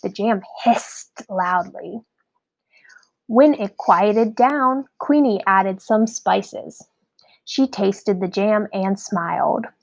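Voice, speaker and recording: Female storyteller for children's read-aloud: expressive, unhurried delivery, touches of vocal fry. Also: mid-range voice, poor audio. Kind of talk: scripted children's story narration.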